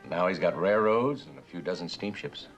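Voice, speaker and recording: A folksy old-time drama bartender, chatty, lowish voice, goes up and down a lot, bit of music. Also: male voice, expressive, moderate pace. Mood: chatty, amused. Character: chatty, genial veteran hotel bartender. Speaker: actor. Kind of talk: mid-20th-century suspense drama acting.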